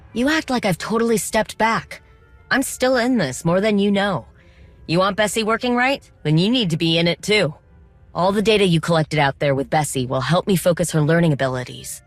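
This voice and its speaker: An actress playing a determined, wry droid mechanic in a video game, speaking informally with coaxing, teasing encouragement. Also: female voice, fairly low pitch, dry recording. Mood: patient, encouraging.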